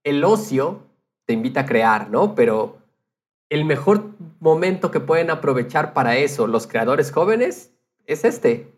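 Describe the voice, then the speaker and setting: A man's voice: on the high side, big pitch swings, unhurried. A man in casual podcast conversation, telling anecdotes with retold quotes and the odd English loanword.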